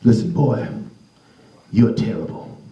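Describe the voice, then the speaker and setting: A man voicing a blunt old man who gives stern, honest advice in a slow, gruff way. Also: fairly low pitch, animated, dark tone, roomy sound, dull audio, voice pushed down.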